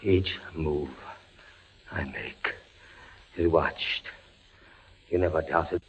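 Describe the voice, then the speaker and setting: An actor playing a tormented, desperate prisoner in a theatrical, halting 1940s radio horror style, on an old radio recording. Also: male voice, rumbling low, breathy edge, muffled recording, full character voice. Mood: grim resignation. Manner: halting, theatrical, swinging from hushed dread to frantic cries. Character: tormented male prisoner facing slow execution, desperate and brooding. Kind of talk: 1940s radio horror acting, intense dramatic monologue.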